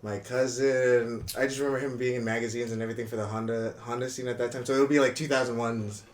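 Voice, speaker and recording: A man in his twenties from Indonesia, a guest on a podcast, telling his story in a relaxed, rambling way with lots of "you know what I mean.". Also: medium pitch, fast talker. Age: 20s. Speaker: guest, brand co-founder. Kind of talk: casual podcast interview. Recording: echoey room.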